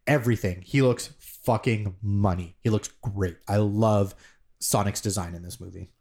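A man's voice: lowish voice, steady tone, moderate pace, a little mellow. A man co-hosting a podcast, chatting casually, rambling with lots of "like" and casual swearing. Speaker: podcast co-host. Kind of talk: casual chat.

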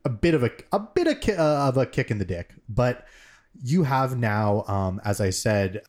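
A man's voice: medium pitch, expressive, normal speed, a little mellow. A man co-hosting a casual gaming podcast, explaining at length with lots of fillers and restarts. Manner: rambling, filler-heavy explanations. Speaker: podcast co-host. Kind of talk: casual chat.

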